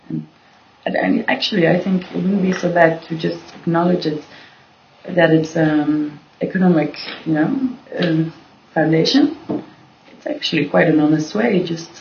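A woman from Belgium talks through her ideas in a relaxed group discussion, pausing and restarting as she searches for words. Her voice has a gravelly edge, and the recording sounds dull and lo-fi, with faint noise underneath. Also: low voice. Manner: searching, restarts often, lots of "you know". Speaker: discussion participant.

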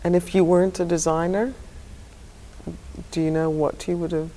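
A woman's voice: on the low side, level tone, normal speed, mellow, low-quality recording. A woman interviewing a guest for listeners, asking light, playful questions. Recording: faint ambient noise.

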